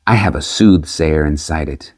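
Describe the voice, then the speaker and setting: A man voicing a sly peasant trickster in a storybook, with a measured, cunning delivery. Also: a bass voice, breathy edge, pitched below the performer's own voice. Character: sly male peasant trickster selling prophecies.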